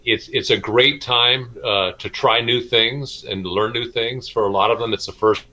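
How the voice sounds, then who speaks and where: A middle-aged man, a schoolteacher, answering interview questions informally in a halting, hesitant way with many pauses. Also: medium pitch, steady tone, pushed and bright, rough recording. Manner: halting, hesitant delivery with frequent pauses and repeats. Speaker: middle school math and science teacher.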